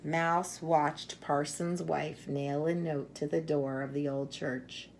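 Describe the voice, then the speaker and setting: Low-voiced, creaky woman reading stories aloud. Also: steady tone, slow, slight background noise. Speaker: storyteller reading a children's picture book. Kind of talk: expressive read-aloud storytelling with casual introduction.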